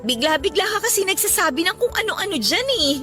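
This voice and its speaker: High-voiced, coy young Filipina. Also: female voice, very animated, fast, music in the background. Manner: coy, teasing, casual. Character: coy, teasing young single woman being courted. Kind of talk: radio romance drama acting.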